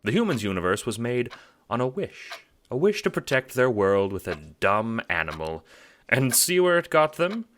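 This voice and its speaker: A man voicing a calm, courteous, smugly sinister puppet-like host in an audio drama, speaking in a mannered, formal, condescending way. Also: medium pitch, moderate pace, pitched above the performer's own voice. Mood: smug musing. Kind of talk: theatrical audio drama narration.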